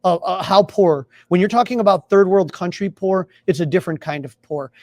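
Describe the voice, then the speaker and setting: A man with a high voice talks sports as a podcast co-host. He is opinionated and emphatic in a casual fan's way, and the sound is close and dry. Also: a little fast, darkish tone, no echo. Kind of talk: casual sports talk and commentary.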